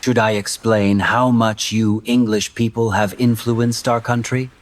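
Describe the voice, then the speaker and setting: A man's voice: lowish voice, little pitch movement, measured pace, a little hiss or hum, close to an everyday voice. A man voicing a young Filipino man who guards the way to a hidden kingdom, talking in a teasing, skeptical way. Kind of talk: amateur animated voice acting.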